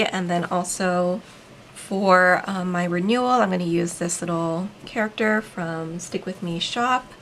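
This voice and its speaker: A woman from the Philippines casually narrating as she decorates a planner, chatty and relaxed, with frequent ums. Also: fairly low pitch, a little hiss or hum. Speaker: planner vlogger and shop owner.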